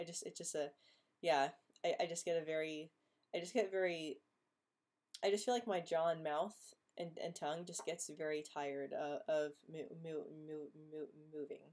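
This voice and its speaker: A woman's voice: low voice, a little mellow. A woman who stutters, hosting a live stream and casually answering viewers' questions with frequent repeated sounds and blocks. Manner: stutters with repetitions and blocks, laughs often. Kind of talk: casual live chat reading comments.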